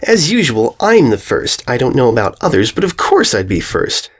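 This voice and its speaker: A man's voice: mid-range voice, huge pitch swings, moderate pace. A man voicing a haughty, self-important young schoolgirl pony in a storybook reading, smug and condescending.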